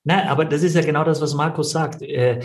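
A man's voice: fairly high pitch, fairly even intonation, fairly quick. A man co-hosting a casual wine talk, giving opinions in long, discursive chains of clauses. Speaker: podcast co-host, wine connoisseur.

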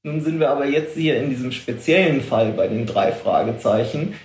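A man's voice: mid-range voice, normal speed, a little mellow, roomy sound, a little hiss or hum. A man explaining production work informally in an interview, hesitant and correcting himself as he goes. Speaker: visual production expert.

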